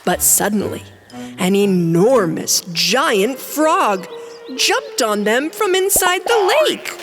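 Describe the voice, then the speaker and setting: A woman narrates a children's fairy tale in a high, animated voice. She takes her time with each sentence. Music plays clearly behind her. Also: takes it slowly.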